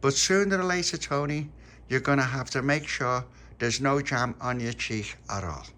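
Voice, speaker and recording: A man voicing an eager, excitable little male puppy in a storybook. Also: medium pitch, moderate pace, pitched above the performer's own voice, natural voice. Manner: bouncy, enthusiastic.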